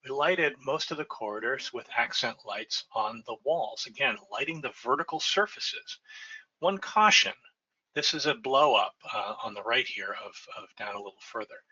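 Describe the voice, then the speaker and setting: Bright-voiced man lecturing on a video call. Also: mid-range voice, expressive, normal speed, slightly rough, dull audio. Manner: conversational, frequent "right?" checks and fillers. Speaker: lighting designer presenting a webinar. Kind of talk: slide lecture, explanatory. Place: home office with bookshelves. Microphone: webcam and computer mic on a video call.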